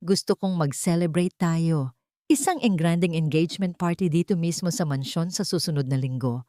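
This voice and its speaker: A woman voicing a calm, guarded rich man. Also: lowish voice, dry recording, clean studio sound, close to an everyday voice. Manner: measured, quiet. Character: calm wealthy young man, hiding anger.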